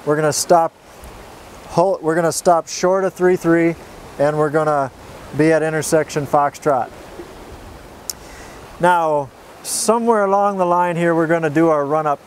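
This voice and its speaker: A man's voice: a little high, slight background noise. A man working as a flight instructor, giving an informal, straightforward tutorial with folksy step-by-step explanations. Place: outdoors.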